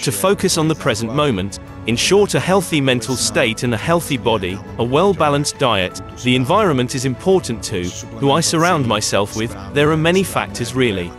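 A young man speaking calmly and deliberately in a plain studio. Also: medium pitch, over music. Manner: calm, deliberate, gesturing. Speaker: athlete.

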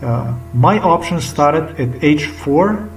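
A man's voice: fairly high pitch, lively intonation, slow, creaky. A middle-aged man with a Slovenian accent talks straight into a webcam from a small room, circling back on his points and hammering words over and over. The sound is roomy and distant from the mic, with faint music underneath. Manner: rambling, repetitive, emphatic, drops to a whisper at times. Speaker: vlogger. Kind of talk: unscripted commentary to webcam.

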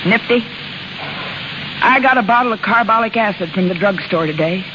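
An actress in a 1930s radio drama playing a weary, remorseful, broke carnival woman with halting, folksy speech. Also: mid-range voice, close to an everyday voice. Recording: muffled, crackly 1930s radio broadcast.

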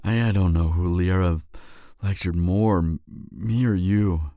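An actor playing a devoted, lovestruck young knight in an audio drama, speaking in a halting, earnest and emotional way. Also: male voice, low voice, dark tone, dry recording, clean studio sound. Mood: wistful. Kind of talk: intimate audio drama acting.

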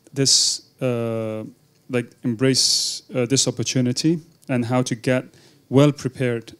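A man from Hong Kong moderates a conference panel. His voice is smooth and mid-pitched for a man. He speaks into a handheld microphone and often pauses mid-sentence. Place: conference function room, indoors.

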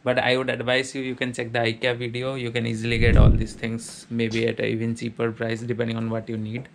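A man's voice: mid-range voice, monotone, fairly quick, gravelly, background noise. A man casually narrating a walkthrough of a small student apartment, listing its features in a plain, matter-of-fact way. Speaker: vlogger giving a house tour.

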